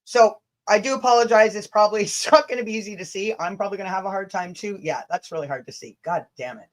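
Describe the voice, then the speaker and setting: A woman's voice: mid-range voice, fast talker. A woman from Canada hosting a livestream, chatting casually and reading emails aloud with a blunt, sweary, sarcastic delivery. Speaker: livestream host. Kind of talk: livestream chat mixed with dramatic reading of emails.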